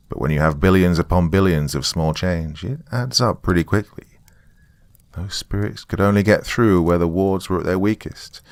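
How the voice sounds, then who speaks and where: Man acting a doomed, gravelly, low-voiced survivor. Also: dry recording. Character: doomed, weary, bitter survivor recording his final message. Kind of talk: dramatic first-person horror monologue.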